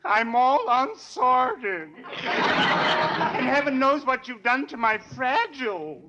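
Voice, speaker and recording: A fussy, self-important mailman from a 1940s radio comedy. He speaks slowly and primly, full of pompous indignation. The old recording is clearly noisy and crackly. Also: extremely high pitch, animated, muffled recording, full character voice. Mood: peevish, spiteful. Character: fussy, peevish, self-important male mail carrier.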